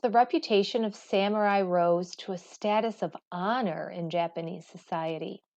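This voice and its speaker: A woman reading educational material aloud like a teacher, with deliberate, careful delivery. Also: medium pitch. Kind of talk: read-aloud educational narration. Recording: slightly dull, lo-fi sound.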